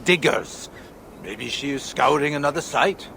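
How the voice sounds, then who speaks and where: An actor playing a grateful, helpful mine worker in a video game, earnest and expressive. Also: male voice, on the high side, measured pace, creaky, a little hiss or hum, heavily acted. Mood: earnest, pondering. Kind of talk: video game cutscene acting.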